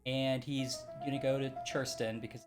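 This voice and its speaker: A man's voice: medium pitch, flat delivery, normal speed, soft background music. A young man casually playing a mystery game, thinking aloud and hesitantly reasoning through clues. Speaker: game streamer. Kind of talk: casual let's-play chat.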